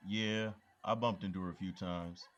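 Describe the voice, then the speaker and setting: A friendly, easygoing young man, played by an actor in an audio drama. His voice is very deep. The recording sounds dull and lo-fi. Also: steady tone, moderate pace, a bit bright.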